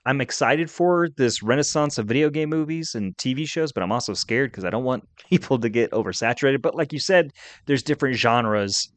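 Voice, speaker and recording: A man's voice: medium pitch, brisk, no echo. A middle-aged man talking casually and conversationally as the co-host of a podcast.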